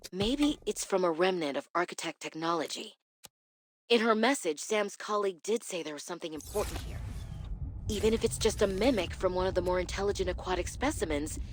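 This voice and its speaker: A woman's voice: fairly low pitch, natural voice. A woman playing a curious, dryly wry scientist in a survival video game, musing to herself in scripted monologue.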